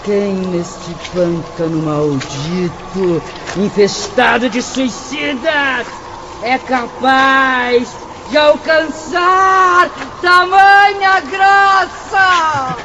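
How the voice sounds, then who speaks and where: Very high voice, damned spirit, extremely slow delivery, sneering, bitter, declamatory, radio drama, noisy background. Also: male voice, highs cut off, heavily acted. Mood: mocking despair. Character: bitter mocking damned spirit of a suicide, scornful and despairing.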